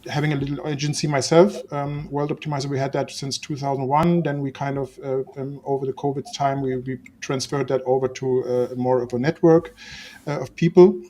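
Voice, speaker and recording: A man who grew up in Spain, introducing himself as a livestream guest on a video call, halting and full of restarts. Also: medium pitch, level tone, dark tone. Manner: hesitant, many fillers and restarts. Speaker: guest, agency owner.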